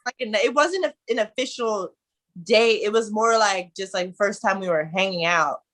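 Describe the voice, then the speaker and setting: A woman's voice: mid-range voice, normal speed, a bit bright. A woman in her twenties from Ohio, animatedly telling a story as a guest in a casual conversation.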